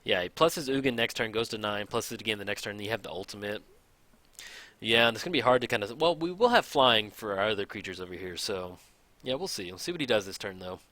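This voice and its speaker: Low, bright-voiced man commenting on a card game. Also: animated, quick pace, no echo. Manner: chatty, thinks aloud, counts out loud. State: slightly raspy from long recording. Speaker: gameplay commentator.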